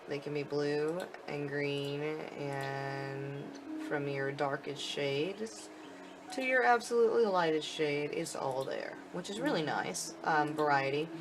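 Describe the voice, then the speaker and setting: A woman giving casual commentary on a game demo, thinking aloud informally as she goes. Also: on the low side. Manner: informal, thinking aloud while clicking through. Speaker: gaming video host.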